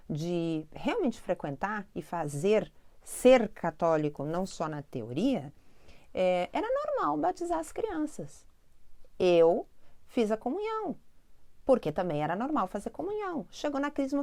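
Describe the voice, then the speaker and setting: Gaúcha woman host, fairly high and very animated pitch, reflective direct-to-viewer monologue. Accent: Gaúcho Brazilian Portuguese. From Porto Alegre, southern Brazil. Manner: talks directly to viewers, asks and answers herself. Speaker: spiritual channel host.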